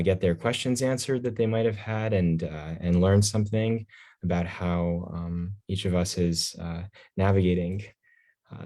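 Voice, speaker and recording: A man's voice: deep voice, darkish tone. A man, a pediatrician speaking casually and unscripted as a panelist.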